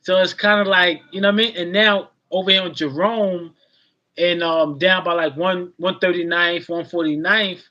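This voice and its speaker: A man's voice: high-pitched, steady tone, normal speed, bright, forceful tone, muffled recording. A man in his forties from Queens chatting as a guest on a video call. He is easygoing and keeps adding "you know what I mean" to his sentences. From Queens, New York. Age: 40s. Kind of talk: casual chat.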